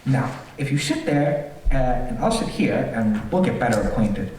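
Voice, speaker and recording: An actor playing a fussy, eager homeowner who is secretly a murderous villain, repeating himself in an old-time radio thriller on stage. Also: male voice, mid-range voice, big pitch swings, normal speed, a little mellow, lightly acted. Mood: fussy, welcoming. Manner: repetitive, fussy, chuckling, sinister. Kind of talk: stage performance of golden-age radio thriller. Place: theater stage, live performance. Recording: roomy theater, far from mic, faint noise.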